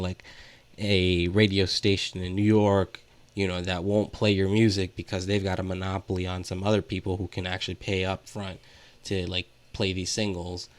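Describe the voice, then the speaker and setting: A man co-hosting a podcast, talking casually and rambling through his thoughts with lots of "like" as filler. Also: low voice. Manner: rambling, hesitant, heavy use of "like". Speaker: podcast co-host. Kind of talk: casual music discussion.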